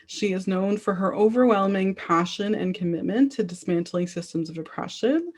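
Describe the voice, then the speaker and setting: A woman's voice: medium pitch, normal speed. A woman moderating an online event over a video call, steadily reading out the next speaker's bio. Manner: steady, reads speaker bios aloud.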